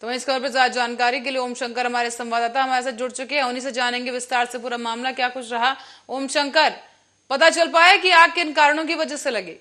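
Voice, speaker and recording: A woman's voice: high voice, steady tone, fast talker, sharp tone. A woman presenting the news as an anchor. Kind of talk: news presenting, scripted narration and live questions to a reporter.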